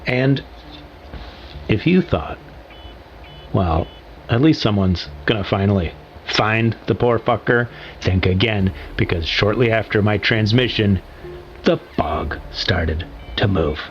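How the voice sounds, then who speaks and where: A male actor playing a weary, resigned, bitter man giving his last transmission in a found-footage audio drama, speaking haltingly. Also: on the low side, slight background noise. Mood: bitter dread. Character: doomed, resigned man sending a final radio transmission. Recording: muffled lo-fi transmission, faint music.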